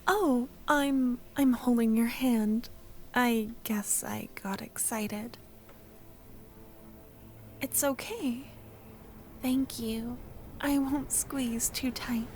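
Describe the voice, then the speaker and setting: Woman voicing tender young woman in love, high soft breathy voice, unhurried, shy yet excitable, whispery ASMR roleplay. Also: slight background noise. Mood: awed, excited.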